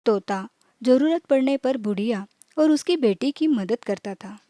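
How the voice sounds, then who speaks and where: Woman reading a kids bedtime story, really slow n measured, mellow soft-toned voice. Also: fairly high pitch, animated, no room sound. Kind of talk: scripted children's moral story narration.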